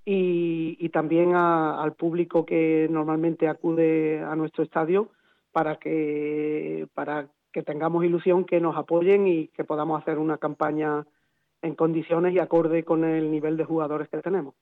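Football coach on a tinny phone line. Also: male voice, on the high side, little pitch movement, a little slow. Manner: hesitant, repeats words. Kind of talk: phone interview.

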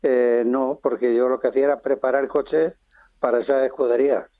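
An older man from Madrid, a businessman being interviewed on the radio, talking in a halting way with many pauses and restarts. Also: mid-range voice, steady tone, dry recording. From Spain (Madrid). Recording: dry, phone-line sound.

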